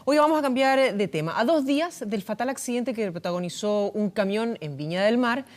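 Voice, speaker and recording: A woman's voice: a little high, expressive, brisk. A woman with a Chilean accent presenting the news from a studio, adding comment. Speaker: news anchor.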